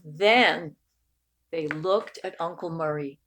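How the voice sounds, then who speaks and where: A woman reading a picture book aloud expressively, with deliberate, dramatic phrasing that stretches key words. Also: low voice, fairly bright tone, a little hiss or hum. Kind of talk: expressive storybook read-aloud.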